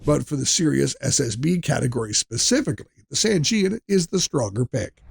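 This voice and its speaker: A man's voice: fairly high pitch, gravelly, darkish tone, slightly rough, dry recording, studio quality. A man narrating a scripted product buyer's guide in a direct, plain-spoken, instructive way.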